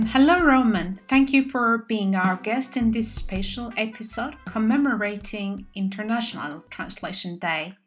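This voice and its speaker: A middle-aged woman whose first language is Amharic hosts a podcast, asking calm, measured questions into a studio microphone. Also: medium pitch. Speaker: podcast host. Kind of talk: interview hosting. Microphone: studio mic with headphones.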